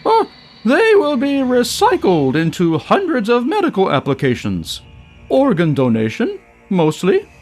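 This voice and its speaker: A man voicing a brisk, matter-of-fact female inspector in an audio story. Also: very high-pitched, huge pitch swings, soft background music, voice pushed up, exaggerated voice. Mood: brisk, proud.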